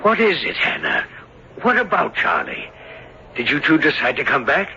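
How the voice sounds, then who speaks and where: An anxious, bewildered father in an old radio play. His voice is highish and swings up and down as he stammers and frets. It comes through a dull, faintly hissy old recording. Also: huge pitch swings, moderate pace, pushed and bright, heavily acted. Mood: confused, gentle. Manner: agitated, stammering. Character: anxious, bewildered father of a missing young man. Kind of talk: old-time radio drama acting.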